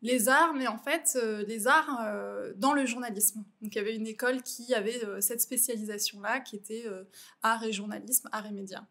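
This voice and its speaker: High-voiced French woman, reflective guest. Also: normal speed, fairly bright tone. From Normandy, France. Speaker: podcast guest. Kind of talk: casual conversational interview.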